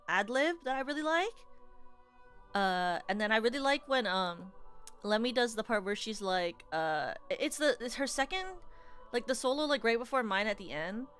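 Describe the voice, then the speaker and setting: A woman's voice: a little high, a bit bright, clear, resonant tone. A young adult woman streamer in casual, rambling livestream chat, playfully teasing her viewers.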